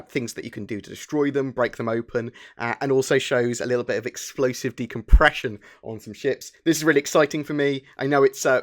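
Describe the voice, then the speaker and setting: A British man hosting an informal gaming news show, talking in a chatty, enthusiastic way. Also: mid-range voice. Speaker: host of a gaming news channel. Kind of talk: informal scripted news commentary.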